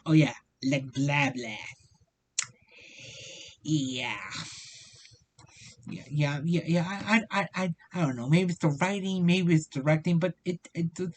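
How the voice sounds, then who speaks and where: A man giving a casual review commentary in a halting manner, often repeating words and syllables as he goes. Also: sits high. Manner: halting, frequent stammered repetitions of words and syllables. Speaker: video reviewer. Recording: noticeable steady background noise.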